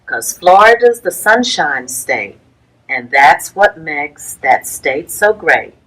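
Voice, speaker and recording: A woman reading a rhyming children's picture book aloud as a story-time reader, with deliberate, rhythmic phrasing for young listeners. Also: low-pitched, very animated, a bit bright, slightly rough, poor audio.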